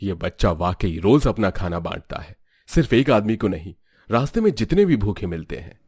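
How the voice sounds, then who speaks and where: Man acting a kindly, expressive school principal. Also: lowish voice, normal speed, slightly rough, dry recording, clean studio sound, exaggerated voice. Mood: admiring. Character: kindly, encouraging male school principal, middle-aged authority. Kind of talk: storybook audio drama acting.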